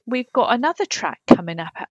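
A woman's voice: on the high side, very animated, fairly quick, dry recording, lo-fi sound. Woman co-hosting a podcast, close dry sound. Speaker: podcast co-host. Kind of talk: casual comic chat.

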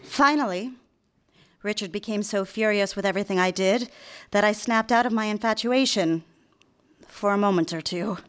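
An actress playing an earnest, polite young career-minded secretary in a live radio drama. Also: female voice, medium pitch, normal speed, natural voice. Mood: reflective. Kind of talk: live radio drama acting with some narration.

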